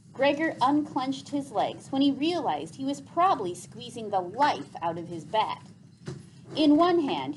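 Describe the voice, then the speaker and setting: Animated woman reading a story aloud. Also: fairly high pitch, a little hiss or hum, poor audio. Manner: animated, deliberate read-aloud delivery. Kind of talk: expressive scripted story narration.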